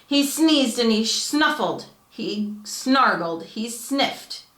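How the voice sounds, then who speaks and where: A woman reads a children's storybook aloud in a high, bright voice. Her delivery is theatrical and expressive, carefully phrased, with noticeable room echo. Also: takes it slowly. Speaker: teacher reading aloud.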